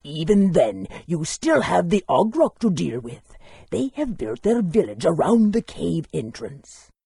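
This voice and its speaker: An actor playing a courteous, bookish town historian in a fantasy game, speaking politely and in a measured, scholarly way. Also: male voice, fairly high pitch, sing-song, gravelly, warm tone, breathy edge, no echo, full character voice. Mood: helpful, cautionary.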